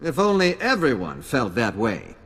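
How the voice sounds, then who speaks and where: An actor playing an earnest, principled senator in a video game, speaking emphatically. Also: male voice, medium pitch, wildly swooping pitch, normal speed, fairly bright tone, breathy edge, heavily acted. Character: earnest indignant male senator. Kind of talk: video game cutscene dialogue. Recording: long reverberant hall, faint music.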